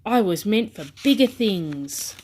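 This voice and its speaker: A woman's voice: mid-range voice, animated, slow, darkish tone, a little hiss or hum. A woman voicing a grumpy, proud little toy tugboat in a storybook, with a haughty, sulky delivery.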